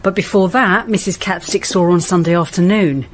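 An actress with a British accent playing a businesslike, persistent detective inspector in a radio drama, direct and practical. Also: female voice, fairly low pitch, brisk, lightly acted. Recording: noisy radio drama with ambience.

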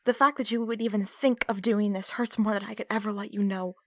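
A woman playing a betrayed, defiant captive in an audio drama, speaking with hurt, accusing, sharp-tongued emotion. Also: mid-range voice, steady tone, a little fast, no room sound, natural voice. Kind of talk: audio roleplay acting.

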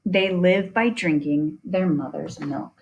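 A woman reading a children's nonfiction picture book aloud in a deliberate way, with chatty asides. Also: lowish voice, darkish tone, dull audio. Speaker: reader of children's science book.

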